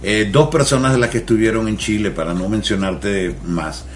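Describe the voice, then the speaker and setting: Venezuelan guy with a real low voice, explaining stuff earnestly on a radio show. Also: normal speed, slight background noise. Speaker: guest on mental health. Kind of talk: radio interview.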